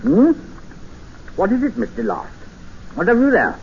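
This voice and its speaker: An actor playing a courteous but menacing older settler, mannered and politely insistent, in 1950s radio drama style. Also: male voice, high-pitched, wildly swooping pitch, gravelly, full character voice. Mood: mild, curious. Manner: mannered, politely insistent, with musing hums and pauses. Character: courteous, menacing older male jungle settler holding a captive. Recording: muffled, noisy old radio recording.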